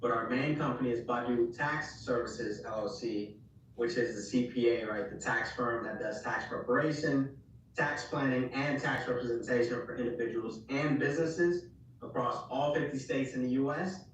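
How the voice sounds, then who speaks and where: A man's voice: mid-range voice, moderate pace, distant mic, a little hiss or hum. Businesslike man in a very echoey room. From Ghana. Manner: steady, polite, businesslike. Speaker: guest accountant. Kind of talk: self-introduction on a web call.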